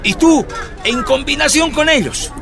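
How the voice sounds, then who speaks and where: Brief: brusque police officer, high voice, radio play. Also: male voice, measured pace, music in the background, a crowd in the background. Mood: curt. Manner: curt, commanding.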